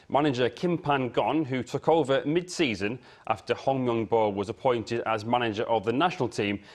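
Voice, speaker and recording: Man presenting sports news. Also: medium pitch. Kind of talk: broadcast sports report. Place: TV news studio.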